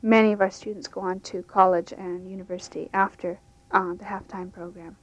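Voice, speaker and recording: A woman's voice: medium pitch, steady tone, fairly quick. A woman who teaches English to immigrants, explaining her programs in an unscripted, plain-spoken way. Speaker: English language instructor. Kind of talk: interview answers, unscripted. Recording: dull lo-fi radio recording.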